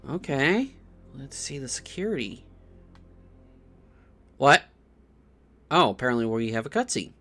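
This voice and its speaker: A man's voice: medium pitch, big pitch swings, a little slow, long pauses, bright, forceful tone. A man giving casual playthrough commentary as a gaming streamer, sometimes reading on-screen text aloud. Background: game music.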